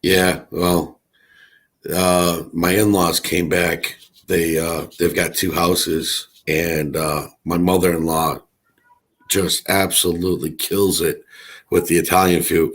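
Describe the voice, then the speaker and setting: A middle-aged man with a raspy, hoarse voice from allergies, chatting casually in a rambling way with many fillers. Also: low voice. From Chicago area. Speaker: co-host. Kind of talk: casual livestream chat.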